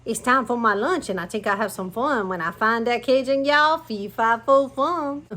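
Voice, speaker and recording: A woman voicing a booming, mean male giant in a rhyming storybook read-aloud. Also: moderate pace, fairly bright tone, lightly acted. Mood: menacing. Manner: rhyming storybook voice, growling demands.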